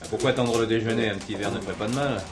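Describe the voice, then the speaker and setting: A man's voice: fairly low pitch, steady tone, very fast, close to an everyday voice. An actor playing an affable, inquisitive man on holiday, politely prompting with questions in a television play. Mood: affable, curious. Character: affable, inquisitive male holidaymaker probing village gossip. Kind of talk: 1970s French television play dialogue. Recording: noisy old TV soundtrack with clicks.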